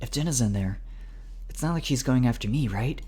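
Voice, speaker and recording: Casting: male reader as stubborn, worried animal lead in a story, tense and casual, pitched slightly up. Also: lively intonation, brisk, dark tone, husky. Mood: anxious. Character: anxious, stubborn furry animal man, story's protagonist.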